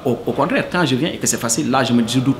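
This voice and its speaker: A man's voice: medium pitch, very fast, noisy background. A man from Senegal, answering interview questions earnestly and hesitantly, full of fillers. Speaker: cook, interviewee.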